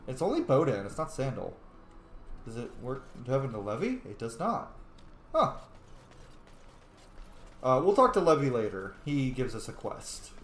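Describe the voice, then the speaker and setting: A man's voice: mid-range voice, very animated, normal speed, pauses often. A man doing casual gameplay commentary as host, informal and reacting aloud as he plays. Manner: informal, reacts aloud, mutters on-screen text. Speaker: gaming video host, player. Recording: roomy echo, faint background noise.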